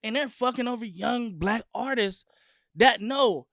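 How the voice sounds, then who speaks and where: A man from Long Beach, California, a guest music artist talking in an animated, profane, emphatic way in a casual sit-down interview. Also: very high voice, measured pace, gravelly, dry recording, clean studio sound.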